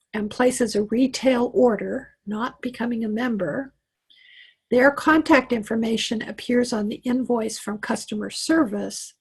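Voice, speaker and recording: A middle-aged woman from Wyoming with a Western American accent tinged Southern, talking through a computer mic on a video call. Her voice is deeply husky and sits a little high, and she is chatty and reassuring, looping back with fillers as she coaches a class. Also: poor audio. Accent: Western American with a slight Southern tinge. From Wyoming, USA. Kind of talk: live online tech class.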